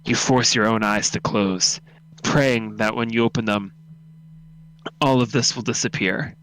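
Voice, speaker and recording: A man's voice: lowish voice, fairly even intonation, measured pace, a bit bright, clean tone, rough recording. A man narrating a second-person horror story as a live radio drama read-through.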